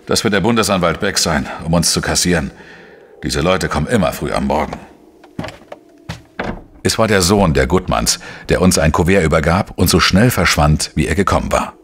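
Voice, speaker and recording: Male for crime radio play: shrewd, matter-of-fact reporter-sleuth, very low husky voice, reverberant sound. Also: animated, a little hiss or hum. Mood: calm narrating. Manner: measured, explanatory, matter-of-fact. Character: shrewd, dry male investigative journalist, narrating his case. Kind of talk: German crime radio play, narration and dialogue.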